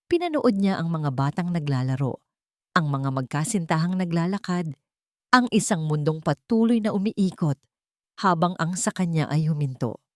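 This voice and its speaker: A Filipino woman narrating a dramatic story as an audiobook, in a measured storytelling manner. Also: low-pitched, animated, no echo, clean studio sound.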